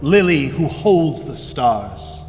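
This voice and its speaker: An actor playing a gentle, wise leader of night spirits in a ceremonious, tender stage style, in a reverberant auditorium. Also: male voice, fairly high pitch, big pitch swings, slow pace. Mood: gentle, reflective. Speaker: actor in a staged reading of a musical. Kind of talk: theatrical stage acting. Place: large indoor high school auditorium. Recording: reverberant school auditorium with piano underscore. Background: music.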